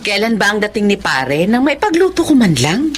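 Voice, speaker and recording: A woman's voice: medium pitch, sing-song, moderate pace, slight background noise, natural voice. An actress playing a chatty, teasing neighbor woman in a radio drama.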